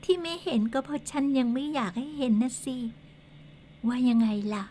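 A young female ghost speaks softly and coaxingly, voiced by a woman. Her voice sits a little high, gentle yet insistent, with a teasing edge. It is a radio ghost drama. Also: moderate pace, a little hiss or hum, natural voice.

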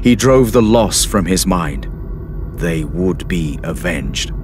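A man's voice: sits low, lively intonation, slow. A man giving dramatic scripted narration as the storyteller of an audio drama. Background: music.

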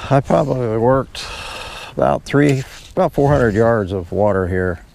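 A middle-aged man giving casual, instructional fishing commentary in short, unhurried remarks, recorded outdoors on a boat. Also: mid-range voice, animated, darkish tone. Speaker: fishing guide demonstrating technique. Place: outdoors on an open boat on a lake. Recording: clear outdoor noise, clicks of gear.

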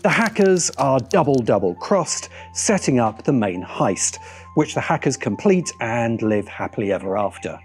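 Casting: middle-aged male essayist, wry and emphatic, animated pitch, music underneath. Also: normal speed. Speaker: video essay host. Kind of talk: film commentary video essay. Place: open-air veranda.

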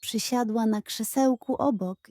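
A woman's voice: on the high side, measured pace, dark tone, dry recording, clean studio sound. A woman narrating a scripted children's bedtime story as a storyteller.

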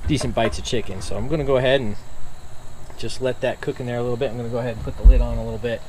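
A man of mid pitch talks through an outdoor camp-stove meal in a loose, unhurried way, full of little fillers and restarts. He was recorded on a camera mic outdoors by a truck tailgate. A faint open-air hum sits behind him. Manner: relaxed, step-by-step, many fillers and restarts. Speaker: outdoor cooking channel host. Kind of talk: casual cooking demonstration.

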